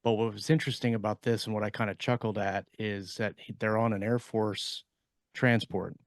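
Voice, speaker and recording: A man's voice: on the low side, fairly even intonation, normal speed, no echo, studio quality. A man in casual discussion as a podcast co-host, explaining in a rambling, hedging way.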